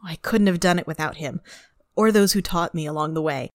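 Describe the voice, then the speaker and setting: Woman acting earnest young space recruit, lowish voice, formal and measured, very dry sound. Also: dry recording, lightly acted. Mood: earnest, resolute. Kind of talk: audio drama acting.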